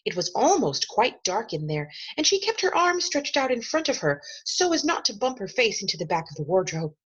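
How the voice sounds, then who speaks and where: A woman storyteller reads a children's novel with lively, swooping intonation and measured dramatic emphasis. Her voice is husky, and the recording sounds slightly dull and lo-fi. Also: on the high side. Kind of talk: expressive storybook narration.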